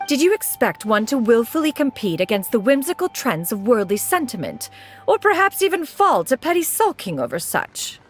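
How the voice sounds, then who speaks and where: A woman's voice: on the high side, sing-song, moderate pace, faint music behind. A woman playing a proud, aloof, eccentric elder immortal in a video game, speaking imperiously in archaic, formal phrasing. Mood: haughty, piqued. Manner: imperious, archaic formal diction, calls herself "one".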